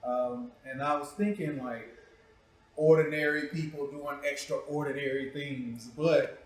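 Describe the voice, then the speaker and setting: A man's voice: fairly high pitch, fairly even intonation, measured pace. A man hosting a talk show in casual, profane, joking chat, with a playful, teasing manner and a habit of repeating himself. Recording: very echoey room, distant mic.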